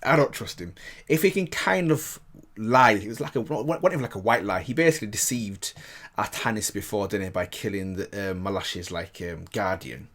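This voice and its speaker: A man's voice: on the low side, animated, normal speed, a bit bright. A man hosting a reaction channel, giving casual, chatty commentary and repeating himself for emphasis.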